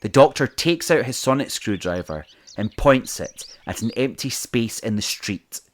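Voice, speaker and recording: A man's voice: mid-range voice, expressive, normal speed, slight background noise. A man reading scripted narration for an audio drama.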